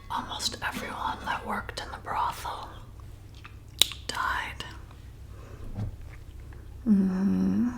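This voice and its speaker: Woman as a creaky, whispering flirty vampire bartender. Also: medium pitch. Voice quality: breathy whisper with creaky edges. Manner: slow, intimate, coaxing. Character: flirty, welcoming female vampire bartender, softly seductive. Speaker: ASMR performer. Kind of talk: ASMR roleplay, whispered.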